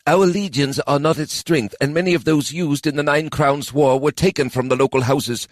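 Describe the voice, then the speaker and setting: A man's voice: on the high side, fairly even intonation, moderate pace, no echo, clean studio sound, pitched above the performer's own voice, lightly acted. A man voicing a thick-bodied male elf commander who is anxious and stammers when rattled. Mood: worried.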